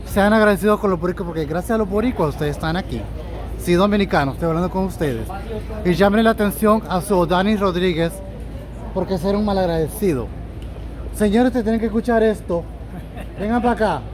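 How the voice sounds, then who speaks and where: A man's voice: high-pitched, fairly quick, darkish tone. A man interviewing people at an outdoor street parade, speaking in an outspoken, emphatic way and calling out to the people around him. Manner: outspoken, emphatic, addresses the crowd.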